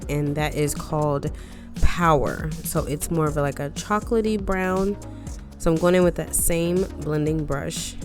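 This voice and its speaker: A woman with a deep, low voice talks through a makeup tutorial in a loose, rambling way. She sounds congested and a little scratchy from a lingering cold. Music plays clearly under her voice. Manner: chatty, rambling asides, frequent "um" and "like". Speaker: beauty vlogger. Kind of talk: casual makeup tutorial chat.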